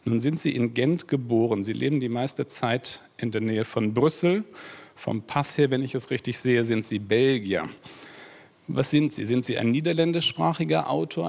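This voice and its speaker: A German man moderates an evening panel discussion, introducing the guest formally. His phrasing is measured, with the odd filler, and his voice sits at a middle pitch for a man. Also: gravelly, a little mellow. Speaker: journalist, moderator.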